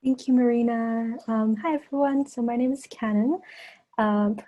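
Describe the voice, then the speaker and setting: Woman from Japan, flat even delivery, mellow tone, dull lo-fi call audio, polite. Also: on the high side, moderate pace. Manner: brief, polite. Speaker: panelist.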